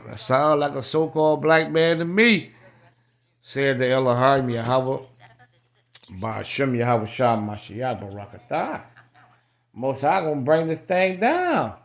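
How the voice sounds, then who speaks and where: A man's voice: a little high, fairly bright tone. An American man preaching in a colloquial, emphatic and repetitive way, reading scripture aloud and adding his own running commentary. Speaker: street-style Bible preacher.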